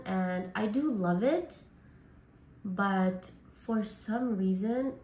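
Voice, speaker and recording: A woman from Canada, hoarse and raspy from a cold, chatting casually as a beauty vlogger through a makeup tutorial. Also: medium pitch, roomy sound, slight background noise. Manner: chatty, says like and honestly a lot.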